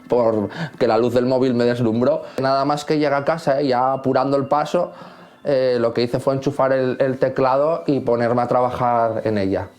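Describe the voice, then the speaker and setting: A man from Asturias, a composer reflecting on his own work in an interview and pausing to search for words. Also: mid-range voice, darkish tone. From Asturias, Spain.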